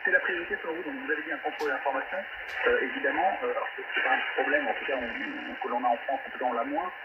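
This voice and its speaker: Male radio host, medium pitch, halting wordy questions, muffled shortwave reception with loud static. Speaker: radio interviewer. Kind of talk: live panel interview. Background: strong radio static.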